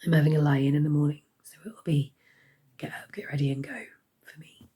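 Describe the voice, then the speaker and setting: A woman giving a casual crafting update, hoarse and half-whispering as her voice fails. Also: deep voice, fairly quick, dark tone. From United Kingdom. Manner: half-whispered, croaky delivery. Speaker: crochet vlogger.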